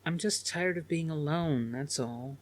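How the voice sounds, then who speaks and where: A sullen adolescent girl voiced by a male narrator, lonely and trying to be brave. The voice is lifted well up in pitch, with a miserable, sighing manner suited to an audiobook novel. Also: unhurried, natural voice.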